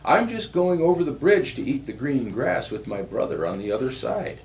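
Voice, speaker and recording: A man acts out a middle-sized billy goat for a children's storybook. He is earnest and persuasive, nervous underneath but composed. The pitch is raised and lively, with faint noise behind it. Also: normal speed. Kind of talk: storybook character voice.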